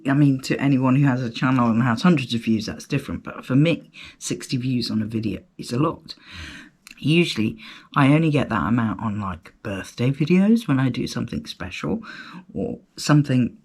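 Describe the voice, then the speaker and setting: A middle-aged woman hosts her own podcast, her throat croaky from a cough. She talks at an unhurried, slow pace, rambling with fillers and poking fun at herself. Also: rumbling low. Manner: chatty, rambling, self-deprecating, with fillers and laughs. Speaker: podcast host. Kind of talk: solo casual podcast chat.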